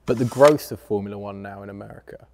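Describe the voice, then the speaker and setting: Low-voiced male interviewer in a reverberant space. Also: fast, noisy background. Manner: friendly, teasing questions. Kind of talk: interview questions.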